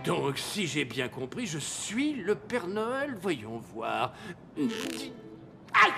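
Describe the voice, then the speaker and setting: Cartoon casting: giddy, childlike old Father Christmas, slow delivery, very animated pitch. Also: gravelly, over music, exaggerated voice. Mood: puzzled. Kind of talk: French animated series voice acting.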